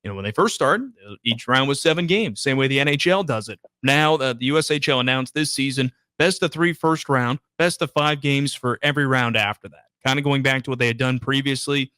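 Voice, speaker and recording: A man's voice: medium pitch, fairly quick, few pauses, sharp tone, clear, resonant tone, no room sound. A man hosting a sports radio show in a conversational style, on a broadcast microphone in a studio.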